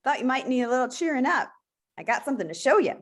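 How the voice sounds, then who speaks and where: Woman as cartoon little girl, high-pitched, very animated, bossy, excitable adventurer, brash commanding delivery. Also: fast talker. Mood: friendly. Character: bold, bossy, excitable eight-year-old adventurer girl. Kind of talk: table read of an animated film script.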